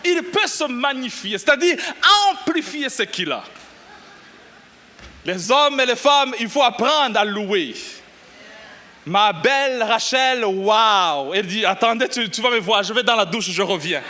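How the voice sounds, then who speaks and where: A middle-aged pastor from Burundi preaching a lively sermon, exclaiming and shouting at the peaks. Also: male voice, sits high, measured pace. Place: church.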